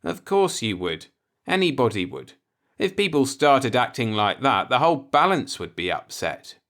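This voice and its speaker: A man acts out a righteous, law-abiding fellow from a sci-fi story. He is earnest and insistent, easily alarmed. His pitch moves around in a very animated way. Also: normal speed. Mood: uncomfortable. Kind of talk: audiobook character voice in a sci-fi story.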